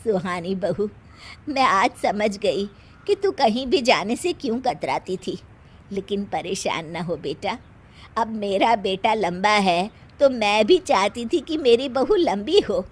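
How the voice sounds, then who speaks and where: A woman's voice: medium pitch, expressive, slow pace, slightly rough. A woman voicing a kindly middle-aged mother-in-law in a cartoon story, affectionate and coaxing. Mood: reassuring.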